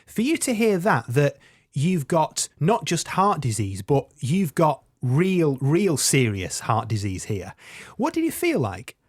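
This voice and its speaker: A man's voice: on the high side, huge pitch swings, measured pace, slightly rough. A man hosting a conversational radio interview and putting questions to his guest.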